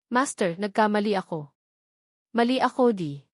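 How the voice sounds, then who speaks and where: A woman's voice: medium pitch, expressive, moderate pace, no echo, studio quality, natural voice. A woman reading the part of a jealous, cowardly male fighter in an audiobook story. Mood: frightened pleading.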